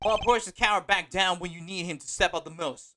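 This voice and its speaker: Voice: mid-range voice, a little fast, noisy background, close to an everyday voice. A streamer voicing an arrogant, scheming male bodyguard in a fan dub of a story game, boastful and sneering, full of chess talk. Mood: smug.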